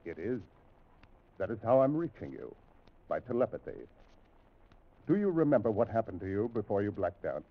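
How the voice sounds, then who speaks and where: An actor playing a stern alien spaceship captain in an old radio drama, speaking in formal, measured, imperious declarations. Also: male voice, fairly low pitch, big pitch swings, breathy edge, heavily acted. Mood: cool, superior. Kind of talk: 1950s radio science fiction drama acting. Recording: muffled, tinny old radio recording.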